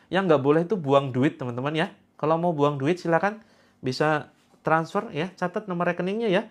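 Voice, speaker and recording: A man's voice: fairly high pitch, brisk. A man in his sixties, a preacher leading a healing session for a live gathering, talking in a playful, teasing way and joking freely with his audience. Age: 60s.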